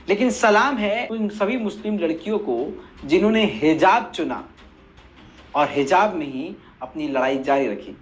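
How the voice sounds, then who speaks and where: Male commentary host, sarcastic and pointed, lively swinging pitch, faint noise behind. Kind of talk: scripted video commentary, addressing viewers.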